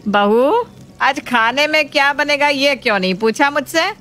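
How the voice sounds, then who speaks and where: A woman voicing a homely middle-aged mother-in-law who coaxes and gently scolds in a family story, with faint noise behind. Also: sits high, expressive, unhurried, faint music behind, voice pushed up. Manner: coaxing, explanatory.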